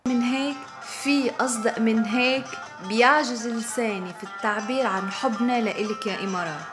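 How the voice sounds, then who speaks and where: A woman hosting a show, cheerful and quick, with music behind her. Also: a little high. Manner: cheerful, rapid delivery. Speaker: show host. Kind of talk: upbeat show hosting in Arabic.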